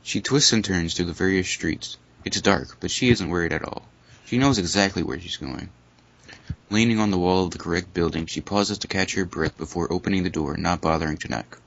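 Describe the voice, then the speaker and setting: A man's voice: deep voice, a little fast. A man casually reading fan fiction aloud, breaking off for exasperated asides and banter. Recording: dull lo-fi recording.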